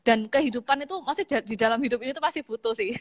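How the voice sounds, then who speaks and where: A woman in her thirties from East Java with a Javanese accent, chatting casually as a guest on a live-stream interview, laughing easily and filling pauses with hesitations. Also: fairly high pitch, over a noisy crowd. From Malang, East Java, Indonesia. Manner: chatty, laughs easily, many fillers and restarts. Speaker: guest, makeup artist. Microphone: phone on an online live stream.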